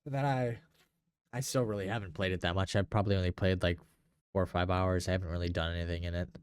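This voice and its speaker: A man's voice: low voice, steady tone, fast, smooth, no room sound, clean studio sound. A young man in casual gaming chat, speaking in a slangy, enthusiastic way. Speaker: podcast host.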